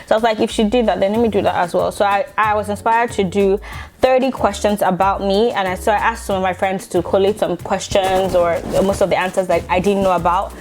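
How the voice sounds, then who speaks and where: A woman's voice: mid-range voice, fairly even intonation, brisk, faint music behind. A woman in her twenties with a Nigerian accent, chatting casually to camera from her bedroom, laughing often and restarting her sentences. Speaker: vlogger.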